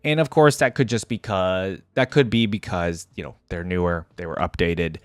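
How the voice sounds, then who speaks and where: A man's voice: low-pitched, moderate pace, clear, resonant tone, dry recording. A man hosting a reaction video, giving casual off-the-cuff game commentary in a rambling, conversational way that often checks for agreement. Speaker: YouTube reaction host.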